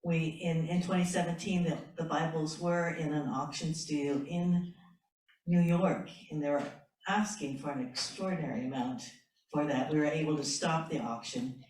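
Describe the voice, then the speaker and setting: A woman's voice: deep voice, fairly even intonation, a little mellow. A woman panelist earnestly telling how her family's heirlooms were recovered, often repeating herself. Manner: earnest, halting, repeats words. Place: large lecture hall. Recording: distant voice in a very echoey hall.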